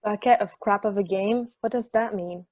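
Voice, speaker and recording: A woman's voice: medium pitch, normal speed, darkish tone, dry recording, clean studio sound, close to an everyday voice. A woman playing a jaded, sardonic sports podcast host in a casual table read. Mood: wry, teasing.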